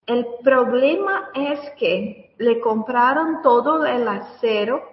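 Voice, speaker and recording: A Brazilian woman whose first language is Portuguese, a guest engineer on a radio interview, speaking Spanish slowly and searching for words. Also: a little high, animated, echoey room, in a large hall, far from the mic, lo-fi sound.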